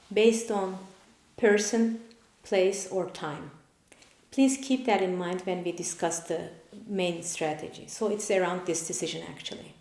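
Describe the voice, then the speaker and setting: Measured woman explaining in a roomy echo. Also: medium pitch, darkish tone. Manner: measured, explanatory, listing points one by one. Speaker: parent and channel host. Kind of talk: scripted explainer. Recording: roomy echoey room.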